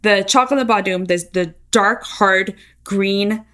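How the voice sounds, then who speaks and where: A woman's voice: medium pitch, measured pace, pushed and bright. A woman co-hosting a food podcast, chatting casually and explaining recipes with many fillers. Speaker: podcast co-host. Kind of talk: casual chat.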